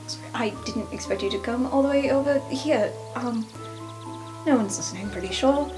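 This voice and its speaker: Woman playing an earnest meddling student girl, fantasy roleplay, highish voice, faint music. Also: moderate pace, close to an everyday voice. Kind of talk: improvised tabletop roleplay.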